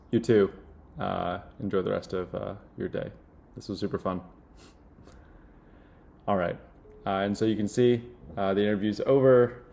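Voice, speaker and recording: A young man with a low-pitched voice. He talks casually, as if demoing a product indoors, and his delivery halts often on filled pauses. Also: vocal fry, darkish tone. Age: young adult. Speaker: software demo presenter playing a job candidate. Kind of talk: casual product demo and phone screen.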